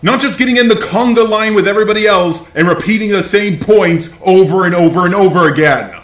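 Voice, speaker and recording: A man's voice: high voice, brisk, echoey room, soft background music. A man delivering opinionated drama commentary in a blunt, profane, indignant way. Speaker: commentary YouTuber, host.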